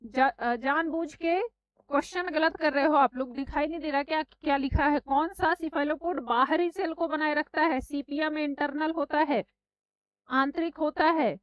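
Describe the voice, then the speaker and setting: A woman teaching a live online exam class, slightly hoarse from a sore throat, scolding her students and calling them beta and bhai. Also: high voice, steady tone, normal speed, no room sound. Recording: dry, slightly dull online class audio.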